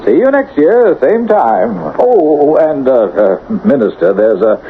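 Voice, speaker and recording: A man's voice: fairly high pitch, sing-song, a little slow, vocal fry, slight background noise, full character voice. An actor playing a lazy, self-satisfied male convict who stammers and chuckles, in a 1970s radio drama. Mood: smug. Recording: tinny old 1970s radio broadcast.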